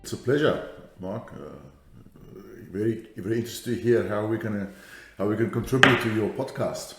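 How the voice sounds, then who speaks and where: Deep-voiced South African man, echoey. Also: moderate pace, music in the background. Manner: thoughtful, many fillers and restarts. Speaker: guest, safety strategist. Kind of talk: podcast interview.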